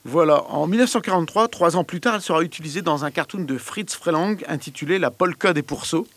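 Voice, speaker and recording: A man's voice: on the high side, fast talker, fairly bright tone, dry recording. A man hosting a music radio show in a playful, casual way. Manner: playful, laughs often while presenting. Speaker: radio host.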